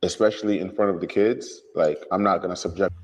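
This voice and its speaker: Man, very low voice, calm and measured, long reverb on the recording. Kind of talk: recorded interview, speaking about his family. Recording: clip with long room reverb.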